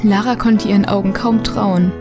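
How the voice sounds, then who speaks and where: A woman's voice: medium pitch, steady tone, moderate pace, over music, close to an everyday voice. A woman narrating a children's mystery audio drama in a scripted, suspenseful storytelling manner. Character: female storyteller of a children's mystery radio play.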